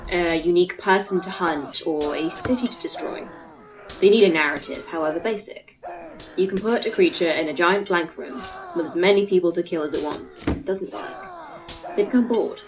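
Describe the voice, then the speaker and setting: A woman playing a brisk, knowledgeable guide to a virtual world of monsters in a sci-fi audio drama, explaining things in a technical, matter-of-fact way. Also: lowish voice, over music, natural voice, poor audio. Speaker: voice actor in a fiction podcast.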